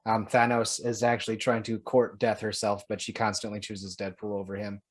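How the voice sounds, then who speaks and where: A man's voice: on the low side, little pitch movement, brisk, no echo. A man co-hosting a podcast, talking in an informal, chatty way. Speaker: podcast co-host. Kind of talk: casual podcast chat.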